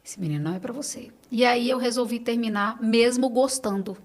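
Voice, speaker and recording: Casting: Brazilian woman, late 30s, fairly high voice, interview guest giving emphatic, reassuring advice. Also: brisk. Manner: emphatic, reassuring, advising listeners directly. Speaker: podcast guest; clinic owner advising on supplements. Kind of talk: conversational interview.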